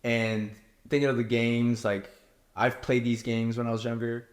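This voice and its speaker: A man's voice: medium pitch, normal speed, echoey room. A young man from Korea answering interview questions candidly and casually, with plenty of "like" and "you know". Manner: candid, relaxed, many fillers like "you know" and "like". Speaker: reality show contestant.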